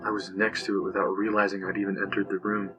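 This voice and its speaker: Man acting a deep-voiced haunted young storyteller. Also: completely flat delivery, fast, over music, lightly acted, poor audio. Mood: entranced, hushed. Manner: brooding, confessional. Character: haunted young man recounting an eerie ordeal. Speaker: narrator. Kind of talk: first-person horror audio drama narration.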